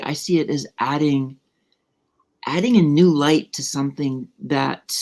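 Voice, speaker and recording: A woman hosts an online interview and draws out her guest with warm, encouraging questions. She speaks casually over a video call. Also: deep voice. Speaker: show host.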